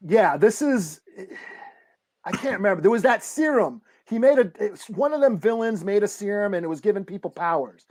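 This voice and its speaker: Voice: medium pitch, expressive, moderate pace, darkish tone, a little hiss or hum. A podcast co-host chatting and debating casually, in informal, slangy language with plenty of swearing. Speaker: podcast co-host discussing comic books.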